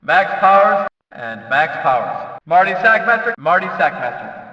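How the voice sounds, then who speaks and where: A man playing a hyped-up race announcer in a video game, calling out drivers' names in a showy, booming style. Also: sing-song, echoey room, in a large hall, distant mic, dull audio. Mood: punchy, upbeat. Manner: showy, booming, drawn-out name calls.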